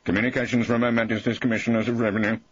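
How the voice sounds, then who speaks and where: A man's voice: mid-range voice, level tone, very fast, husky, no echo, muffled recording, voice pushed up, natural voice. A man playing an irreverent, witty old male barrister with a wry, florid, mock-courteous manner. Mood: mock horror.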